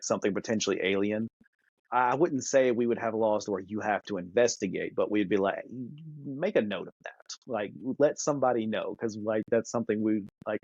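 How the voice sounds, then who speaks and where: A man co-hosting a podcast, chatting casually and thinking out loud in a conversational way. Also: lowish voice, no echo, highs cut off. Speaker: podcast co-host. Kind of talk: casual discussion.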